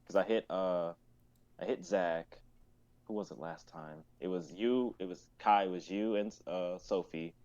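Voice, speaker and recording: A man's voice: low-pitched, a little slow, a little mellow, no echo. A man in his twenties chatting casually with fellow writers on a stream. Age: 20s. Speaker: writer and reader in a workshop stream. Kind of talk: casual chat. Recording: dull lo-fi mic, keyboard typing.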